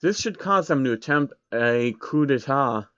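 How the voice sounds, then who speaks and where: A cold, menacing billionaire villain from a story reading, put on by a man. He speaks deliberately, with threat and wide swings in pitch. The recording is dry and a little dull. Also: lively intonation, fairly bright tone, no room sound. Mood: cold scheming. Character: menacing scheming male billionaire madman.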